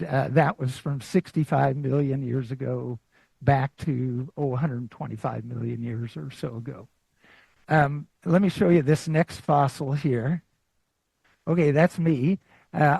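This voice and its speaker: A man gives a public science talk with slides, speaking slowly and drifting through fillers and restarts. His voice is a little husky, and he pokes fun at himself now and then. The recording is dry and close, with almost no room sound. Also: medium pitch, no room sound, low-quality recording. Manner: relaxed, rambling, many fillers and restarts; self-deprecating. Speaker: guest lecturer.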